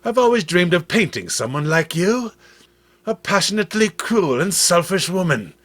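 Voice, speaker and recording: Man putting on an intense, high-pitched painter. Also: sing-song, normal speed, fairly bright tone, heavily acted. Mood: fascinated. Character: male painter fascinated by cruel beauty.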